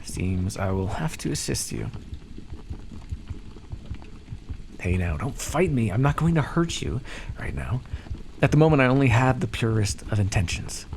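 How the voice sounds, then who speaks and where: Guy doing a smug vampire captor for asmr, gravelly, swoopy pitch, patronizing and coaxing. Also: big pitch swings, moderate pace, darkish tone, slight background noise. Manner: teasing, patronizing, coaxing, hesitant stammers. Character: calm, condescending male vampire captor and experimenter. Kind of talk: ASMR roleplay, scripted monologue.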